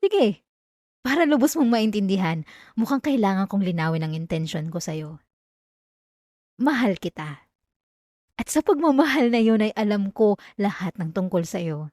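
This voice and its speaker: A woman's voice: medium pitch, animated, moderate pace, long pauses, darkish tone, no echo, natural voice. A young woman with a Filipino accent voicing an obsessive, possessive female classmate in an intimate, dramatic ASMR roleplay, addressing the listener up close. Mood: obsessive, insistent. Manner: intimate, pleading turning coldly threatening, dramatic. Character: obsessive yandere female classmate, clingy, wounded, menacingly possessive. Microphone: close microphone, dry sound.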